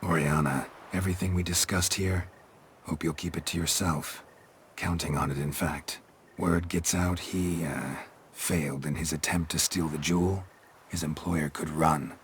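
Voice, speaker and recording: A man's voice: rumbling low, fairly even intonation, moderate pace. A man with an American accent playing a stoic, middle-aged monster hunter in a video game, speaking in terse, clipped, world-weary phrases. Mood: guarded. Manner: terse, clipped, drops sentence subjects. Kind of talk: video game dialogue acting. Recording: clear background noise, ambience.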